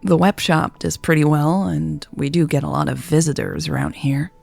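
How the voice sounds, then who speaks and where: A woman's voice: low voice, fairly even intonation, normal speed, gravelly, darkish tone, soft background music, full character voice. A woman voicing a shy, wry female bookshop owner who is easily flustered, in a romance audiobook. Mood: reserved.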